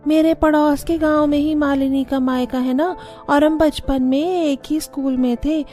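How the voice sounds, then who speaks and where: A woman's voice: sits high, fairly even intonation, measured pace, mellow, faint music behind. A woman voicing a chatty, gossiping village neighbour in a cartoon story. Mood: chatty, knowing.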